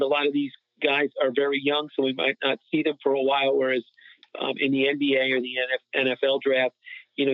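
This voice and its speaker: A man with a mid-pitched voice asks questions on a sports podcast. He feels his way through them a bit hesitantly. The sound is thin and dry, like a phone line. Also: no room sound. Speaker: podcast co-host. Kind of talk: casual sports chat, asking questions.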